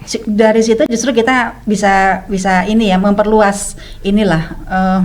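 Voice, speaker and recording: A woman's voice: medium pitch, fairly even intonation, moderate pace, darkish tone. A woman from West Sumatra, a guest on a radio interview, speaking with conviction and laughing often. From West Sumatra, Indonesia. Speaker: guest, food blogger group founder. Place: radio studio.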